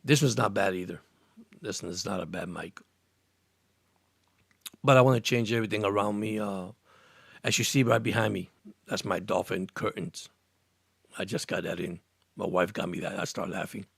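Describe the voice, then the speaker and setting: A man's voice: lowish voice, steady tone, normal speed, vocal fry, pauses often, slightly rough, no echo. A man of about sixty from Cuba, raised in Miami, hosting a casual sports live stream, chatting in a rambling, earnest way and answering viewer comments. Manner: rambling, repeats words and restarts, earnest. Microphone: studio microphone.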